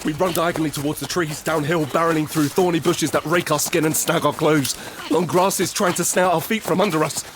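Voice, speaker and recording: An actor playing a frightened survivor in an audio drama, narrating urgently in the first person and present tense in fragmented phrases. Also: male voice, a little high, fairly even intonation, a little fast, few pauses, natural voice. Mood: frantic, fearful. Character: desperate, frightened male survivor of a monster apocalypse. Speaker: narrator and lead character.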